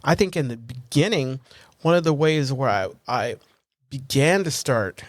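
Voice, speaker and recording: A man co-hosting a recovery podcast in casual chat, talking in an animated, rambling way full of restarts and self-deprecating humor. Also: medium pitch, a little slow, no echo, clean studio sound. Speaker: podcast co-host.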